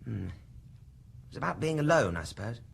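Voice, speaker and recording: A man's voice: mid-range voice, big pitch swings, normal speed, gravelly, breathy edge, full character voice. An actor playing a thoughtful, self-mocking young male lecturer and writer who hesitates as he talks, in a radio drama.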